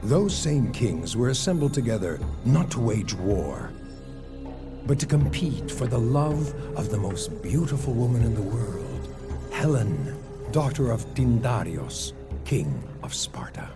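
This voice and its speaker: Grave, slow, dramatic epic storyteller. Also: male voice, medium pitch, very animated. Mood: grave, ominous. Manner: grave, measured, theatrical. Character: solemn male storyteller of ancient Greek legend. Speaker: narrator. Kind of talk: dramatic scripted epic narration. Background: music.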